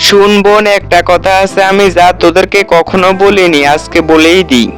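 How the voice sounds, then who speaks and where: A man's voice: high voice, steady tone, moderate pace, fairly bright tone, soft background music. A man playing a confident, protective older brother, a clever boy in a children's fairy-tale cartoon, speaking reassuringly. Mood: curious, confiding.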